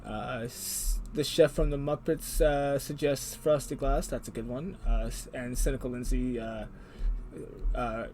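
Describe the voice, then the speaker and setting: A man's voice: medium pitch, fairly even intonation, measured pace, darkish tone, a little hiss or hum. A man hosting a casual livestream, reading the chat aloud in a joking way and laughing often. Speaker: stream host.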